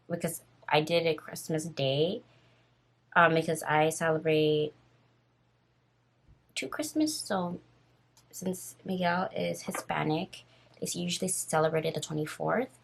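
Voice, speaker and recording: A woman in her twenties with a raspy voice, casually telling a story on her vlog while doing her makeup, rambling and full of fillers. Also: low voice, a little slow. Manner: rambling, filler-heavy and nervous. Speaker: vlogger.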